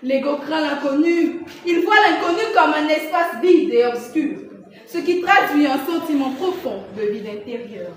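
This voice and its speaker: A young woman reciting slam poetry as a student contestant, in a theatrical, emphatic manner with dramatic swells and hushed drops. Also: high voice, expressive, unhurried, slight background noise. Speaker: student performer in a public-speaking contest. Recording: echoey room, distant microphone.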